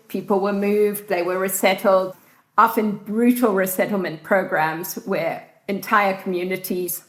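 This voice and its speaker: South African woman explaining, echoey room. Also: medium pitch. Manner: measured, informative, steady. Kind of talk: explanatory interview answers.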